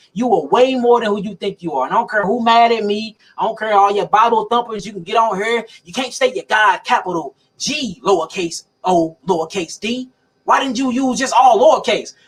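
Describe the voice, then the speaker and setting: Man, very high voice, emphatic, preachy, colloquial, repeats himself, laughs often. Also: a bit bright. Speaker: alternative health speaker. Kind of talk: preaching monologue.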